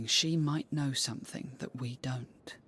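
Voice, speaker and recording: A woman playing a calm, inquisitive companion warrior in a video game, speaking in a thoughtful, plain, questioning way. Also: extremely low pitch, measured pace, breathy edge, heavily acted. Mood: curious, thoughtful. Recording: music under the voice.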